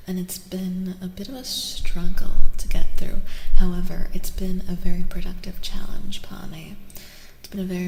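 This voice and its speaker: A woman speaking softly in an ASMR style as she gives a tarot reading, often slipping into whispers. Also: on the low side. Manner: soft-spoken, slipping into whispers. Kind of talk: soft-spoken ASMR. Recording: roomy, long reverb, faint noise.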